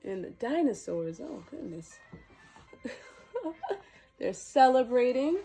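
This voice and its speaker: A woman with a fairly high voice reads to children at a very slow, deliberate pace. Her delivery is expressive and sweet, as a storytime host speaking to young viewers. Faint music plays behind her. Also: gravelly, husky. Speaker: children's storytime host dressed as a princess character. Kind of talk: animated children's picture-book reading and direct address to young viewers.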